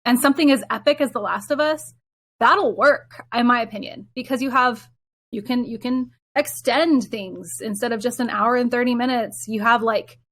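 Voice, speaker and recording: A woman's voice: a little high, moderate pace, fairly bright tone. A woman talking casually on a panel podcast, explaining her view and correcting herself as she goes.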